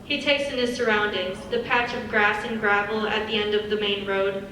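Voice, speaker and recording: A woman's voice: medium pitch, fairly even intonation, normal speed, sharp tone. A woman reads stage directions as the narrator of a live dramatic script reading. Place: large echoey indoor hall on stage. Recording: very echoey hall, distant mic, faint noise.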